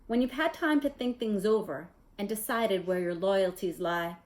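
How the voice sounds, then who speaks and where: A woman's voice: mid-range voice, normal speed, close to an everyday voice. A woman voicing a stern, menacing male schoolteacher with a cold, controlled delivery in a storybook reading. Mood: cold threat. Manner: cold, quiet, controlled, threatening. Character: icy, menacing, bitter man, stern schoolteacher. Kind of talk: storybook character voice.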